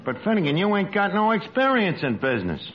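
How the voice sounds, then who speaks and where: An actor playing a brash, wisecracking New York tavern manager with ungrammatical, mock-refined speech, in 1940s radio comedy style. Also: male voice, high voice, wildly swooping pitch, fast. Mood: dismissive. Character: brash, malapropping tavern manager with pretensions to class. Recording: noisy, muffled old radio broadcast recording.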